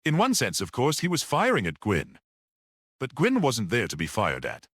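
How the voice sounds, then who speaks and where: A man voicing a mild, perceptive priest-detective in a story, grave, measured and almost dreamy as he reasons. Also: medium pitch, wildly swooping pitch, a bit bright, no room sound, clean studio sound, exaggerated voice. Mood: grave, musing.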